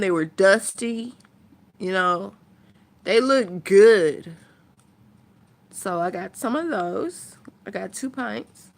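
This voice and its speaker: A woman chatting casually to her viewers as a vlogger, thinking aloud in a rambling way. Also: mid-range voice.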